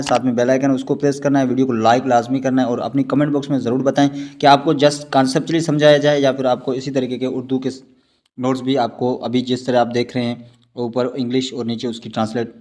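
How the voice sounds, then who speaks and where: A man's voice: mid-range voice, a little mellow. A man with a Pakistani accent lecturing on law in an explanatory way, repeating and rephrasing his points. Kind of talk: explanatory online lecture with read-out passages.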